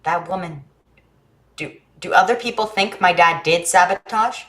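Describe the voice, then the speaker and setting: Woman acting an earnest child, bright low voice. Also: normal speed, lightly acted. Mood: subdued, wondering. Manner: plain-spoken, literal, inquisitive. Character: earnest, literal-minded, curious schoolchild named Nikolai. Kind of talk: staged reading of a science fiction play.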